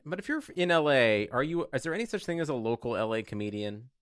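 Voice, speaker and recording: A man with a mid-range voice that moves around a lot in pitch. He banters casually as a podcast co-host with wry jokes, in a dry, close recording. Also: expressive, quick pace, fairly bright tone, clear, resonant tone, dry recording.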